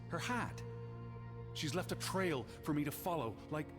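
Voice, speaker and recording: An actor voicing an earnest, anxious American naturalist of the 1920s in a video game, with a formal, literary way of speaking. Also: male voice, on the high side, animated, measured pace, music in the background. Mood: agitated, hopeful.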